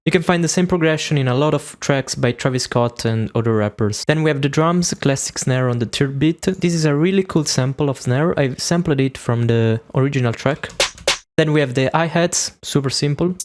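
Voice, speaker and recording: A man's voice: medium pitch, moderate pace. A young man with an Italian accent, casually explaining how a beat is made in a music production tutorial. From Italy. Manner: casual, self-deprecating, explanatory. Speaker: beatmaker YouTuber.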